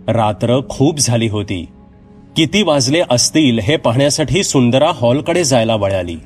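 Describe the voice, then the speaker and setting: A man's voice: medium pitch, a little mellow. Man narrating a horror story over music. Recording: music behind the voice. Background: background music.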